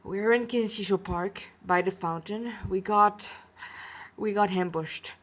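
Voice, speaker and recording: A woman's voice: medium pitch, a little slow, close to an everyday voice. A woman voicing a young cadet boy in an audio story, shaken, grim and hesitant. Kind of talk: dramatic amateur audio story.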